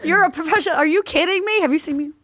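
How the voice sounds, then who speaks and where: A woman's voice: very high voice, racing, fairly bright tone, no echo, clean studio sound. A woman hosting a podcast, chatting casually with her guest in an effusive, affirming way. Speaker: podcast host interviewing a guest.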